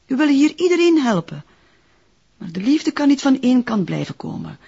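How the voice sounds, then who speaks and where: A stern, discreet Flemish nun in charge of a convent in a detective drama. She speaks formally and carefully in a somewhat breathy, husky voice. The recording is a little muffled. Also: high-pitched, big pitch swings, fairly quick, mellow, heavily acted. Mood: measured, confiding. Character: guarded, stern female convent director, a nun. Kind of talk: naturalistic detective drama dialogue.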